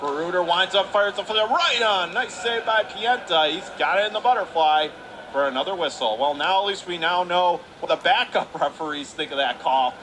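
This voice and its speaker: A man calling live ice hockey play-by-play on the radio in clipped phrases, getting excitable on big plays. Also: high voice, moderate pace. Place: indoor ice hockey arena. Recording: clear rink noise behind the voice.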